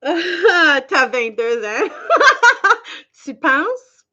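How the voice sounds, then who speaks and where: A giggly Canadian woman around forty hosts a casual livestream. Her voice is high and swings up and down a lot, and she talks in an unhurried, affectionate, chatty way. The audio is a little dull and lo-fi. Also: very animated, pushed and bright. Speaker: livestream host. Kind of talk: casual livestream chat with viewers.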